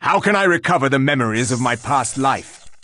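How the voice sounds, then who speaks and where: An actor playing a blunt, impatient resurrected warrior in a fantasy video game, speaking tersely in a husky voice. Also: male voice, mid-range voice, expressive, brisk, pushed and bright. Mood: stern, businesslike.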